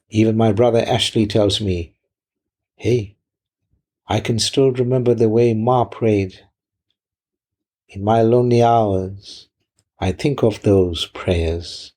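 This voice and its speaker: A man who is a pastor delivering a sermon in deliberate, measured phrases, often posing rhetorical questions. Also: on the low side, a little mellow, slightly rough.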